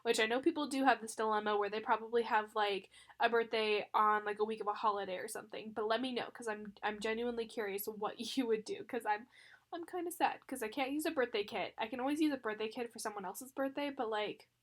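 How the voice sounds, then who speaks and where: A woman's voice: fairly high pitch, quick pace. Chatty young woman with a stuffy voice. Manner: chatty, with frequent fillers and little laughs. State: congested from the flu, with a slight cough. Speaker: planner vlogger. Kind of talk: casual chat.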